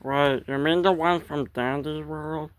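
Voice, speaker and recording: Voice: medium pitch, steady tone, measured pace, clean tone, no echo, close to an everyday voice. A performer voicing a meek but stubborn male reindeer in an improvised comedic skit, stammering and sullen. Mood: hesitant, sullen.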